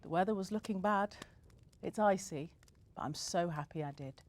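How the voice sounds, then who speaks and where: A woman's voice: fairly low pitch, normal speed, darkish tone, pitched above the performer's own voice, close to an everyday voice. A woman voicing a loving, frail old grandmother who speaks tenderly to her grandchild. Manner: tender, affectionate.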